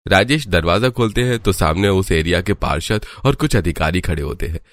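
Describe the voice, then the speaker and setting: A man's voice: sits low, animated, fairly quick, no room sound, clean studio sound. A man narrating a scripted family story as its storyteller.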